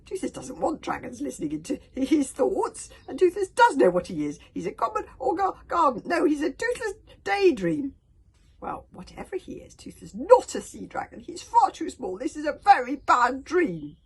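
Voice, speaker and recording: Casting: woman as small boy dragon, storybook; high, shrill, stammering, whiny and indignant. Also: very animated, moderate pace. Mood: indignant, distressed. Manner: stammering, shrieking, protesting. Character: tiny young male dragon, indignant, silly, whiny.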